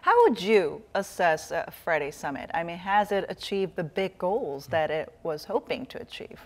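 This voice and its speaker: A woman's voice: fairly low pitch, moderate pace. A woman working as a news anchor, putting questions to a guest in a live interview.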